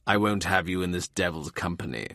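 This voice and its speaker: A stern middle-aged ex-chancellor from a historical audiobook, acted by a male narrator, devout and scornful. His voice sits low and sounds dry and close, and he speaks curtly with dour relish. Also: brisk, pushed and bright, dry recording. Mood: cold dismissal. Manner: curt, dour, gloomy relish.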